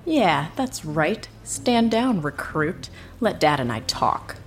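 A woman playing a cocky, sardonic, wisecracking lowlife in an audio drama. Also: big pitch swings, slow pace, faint music behind. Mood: defiant, wary. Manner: glib, sardonic. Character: cocky, wisecracking female lowlife smooth-talking the police.

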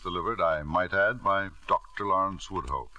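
An actor playing a proud, stubborn older father in vintage radio drama, insistent and smooth-talking. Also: deep voice, moderate pace, sharp tone. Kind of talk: 1940s-50s radio drama acting.

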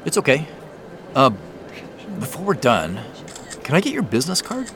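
A man's voice: fairly high pitch, wildly swooping pitch, moderate pace, noisy background. An actor playing a guarded, reluctant man of few words in an audio drama, answering tersely and hesitantly.

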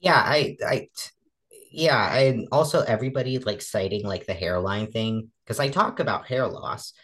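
A woman in her twenties with a relatively deep voice, a guest talking casually in an interview. She acts out the remarks she quotes and talks with many fillers and restarts. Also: moderate pace, fairly bright tone, rough recording. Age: 20s.

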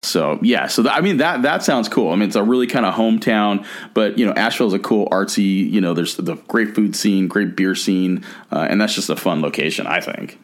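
A man co-hosting a podcast in casual chat, halting and often repeating his words and phrases. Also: on the low side. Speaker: podcast co-host.

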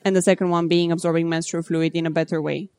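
Composed low-voiced woman founder, dry sound. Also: level tone, dark tone, clear, resonant tone, dry recording. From Bulgaria. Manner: measured, composed, businesslike. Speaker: startup founder. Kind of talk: interview answers.

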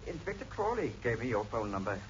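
An actor playing a flustered, apologetic chemist, anxious and stammering, in a 1960s radio drama. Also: male voice, medium pitch, big pitch swings, fast, a little hiss or hum, natural voice. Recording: muffled vintage radio recording.

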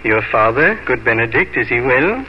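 An actor playing a kindly, mournful old priest in a 1950s radio drama, speaking gently and solemnly. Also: male voice, medium pitch, very animated, normal speed, a little hiss or hum, close to an everyday voice. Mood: sad, tender. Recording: muffled old radio broadcast, faint music.

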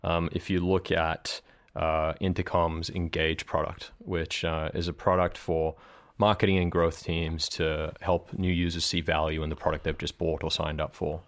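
A man's voice: a bass voice, level tone, moderate pace. A man, a marketing director, explaining his approach thoughtfully in a conversational interview, with frequent ums and uhs.

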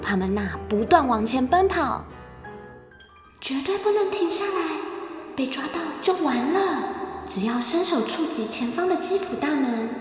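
Female storyteller: high voice, expressive scripted children's narration over prominent music. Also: measured pace.

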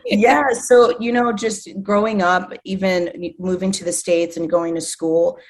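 A woman's voice: lowish voice, fairly even intonation, a little slow, poor audio. A woman in her thirties from Zimbabwe, a guest speaking casually and enthusiastically, with many fillers. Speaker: guest, artist manager. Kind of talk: casual interview conversation.

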